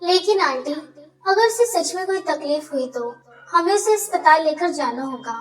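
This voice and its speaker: A woman's voice: very high-pitched, lively intonation, normal speed, recorded from a distance, soft background music. A woman voicing a respectful, caring young daughter-in-law in a cartoon story, polite and earnest. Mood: concerned.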